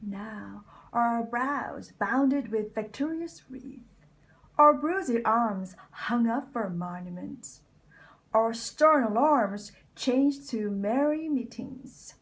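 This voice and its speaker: Slow, high-voiced woman reciting Shakespeare. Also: animated, poor audio. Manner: deliberate, dramatic, with breathy whispered phrase endings. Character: bitter, scheming deformed nobleman plotting villainy. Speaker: amateur Shakespeare reader.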